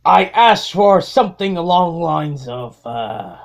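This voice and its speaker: A man acts out a tyrannical robot warlord with a gravelly, creaking edge. The delivery is slow and grandiose, swinging widely in pitch like a comic-book villain giving a monologue, with sardonic mutters in between. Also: expressive. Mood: dry, sardonic. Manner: theatrical, halting monologue with muttered sardonic asides. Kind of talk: amateur comic dub acting.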